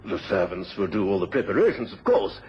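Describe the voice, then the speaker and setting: An actor playing a genteel but stern country squire in a radio drama, courteous and hesitant, with polite hems. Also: male voice, low voice, very animated, fairly quick. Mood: courteous, hearty. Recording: dull, noisy old radio recording.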